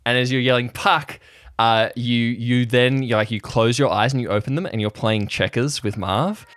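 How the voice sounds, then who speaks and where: A man acting as game master, improvising descriptive scenes in a halting way, addressed to the players. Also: mid-range voice, a bit bright, no echo.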